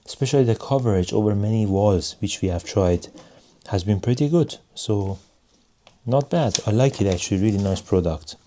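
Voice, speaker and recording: A man giving a casual hands-on product review in measured, non-native English phrasing. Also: on the low side, warm tone, slight background noise. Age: adult.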